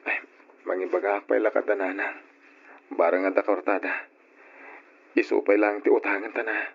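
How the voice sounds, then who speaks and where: A man's voice: on the low side, little pitch movement, normal speed, gravelly, husky. A man playing a remorseful, apologetic husband in an old radio drama, speaking in a contrite, subdued way. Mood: guilty. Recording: dull, lo-fi old radio recording.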